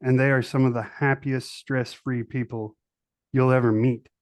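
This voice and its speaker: A man's voice: medium pitch, flat delivery, normal speed, dry recording. Host: middle-aged man, mid-range voice, dry close recording, keen and emphatic. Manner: enthusiastic, emphatic, repeats for stress. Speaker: podcast host. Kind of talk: casual interview chat.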